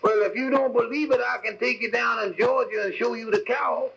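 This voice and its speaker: An actor playing a simple, earnest, literal-minded country cab driver in a 1940s radio comedy. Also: male voice, extremely high pitch, steady tone, brisk, vocal fry, a bit bright, husky, heavily acted. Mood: earnest, folksy. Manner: folksy, literal-minded, ungrammatical. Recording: muffled old 1940s radio recording.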